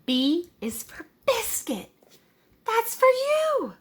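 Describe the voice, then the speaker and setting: A woman's voice: very high voice, huge pitch swings, drawn-out words, voice pushed up, heavily acted. A woman voicing a proud, eager little kindergarten boy in a children's storybook read-aloud. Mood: proud, excited.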